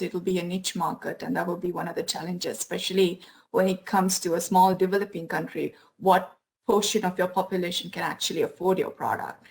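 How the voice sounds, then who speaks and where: A woman who grew up in Sri Lanka, moderating a business panel on a video call, friendly and quick to laugh. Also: fairly low pitch, creaky.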